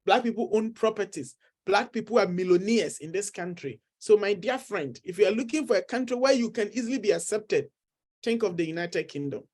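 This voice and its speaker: A man's voice: high-pitched, lively intonation, brisk, a little mellow. A middle-aged man with a Ghanaian accent, a study-abroad consultant earnestly encouraging viewers and repeating his key points. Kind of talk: persuasive talk to camera.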